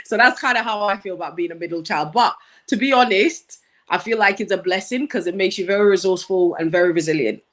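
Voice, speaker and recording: A woman in her thirties from Nigeria, a guest in a casual chat, thoughtful and quick to laugh. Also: mid-range voice, fairly bright tone. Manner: laughs often, thoughtful, some ums.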